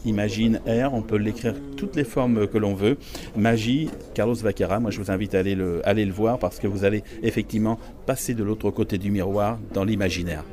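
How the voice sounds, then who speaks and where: A man's voice: lowish voice, steady tone, a little fast, dark tone. A man hosting a radio interview, asking brief, friendly questions. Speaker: radio host. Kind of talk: casual interview.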